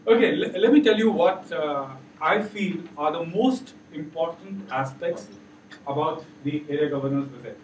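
Middle-aged male trainer, loud and upbeat, unmiked, far camera pickup with faint room noise. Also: a little high, lively intonation, normal speed, echoey room. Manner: loud, encouraging, asks the room questions. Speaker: workshop trainer. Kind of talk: interactive training session. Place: large meeting room with projector. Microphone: far camera, no mic.

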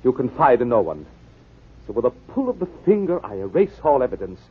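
An actor playing a suave, menacing wealthy killer in a clipped 1940s radio drama style. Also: male voice, mid-range voice, big pitch swings, brisk, breathy edge. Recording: tinny 1940s radio recording.

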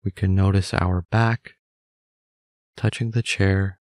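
A man calmly leading a scripted guided meditation in a measured, gently instructive manner. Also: deep voice, no echo, clean studio sound.

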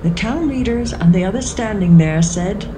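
A woman narrating scripture from a script in a measured storytelling manner. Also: mid-range voice, animated. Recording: music behind the voice.

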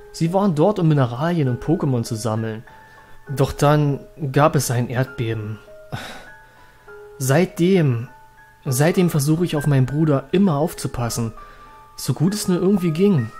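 A man's voice: fairly high pitch, darkish tone, lightly acted. A man playing a haunted young trainer, recounting his story in a brooding, dramatic way for a horror audio drama. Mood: subdued, brooding. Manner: dramatic, halting at moments, brooding. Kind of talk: German horror audio drama narration and acting.

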